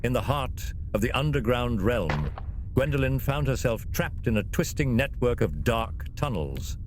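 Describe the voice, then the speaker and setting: A man's voice: mid-range voice, very animated, measured pace, noisy background. A man narrating a fantasy story in a dramatic, theatrical and suspenseful scripted style.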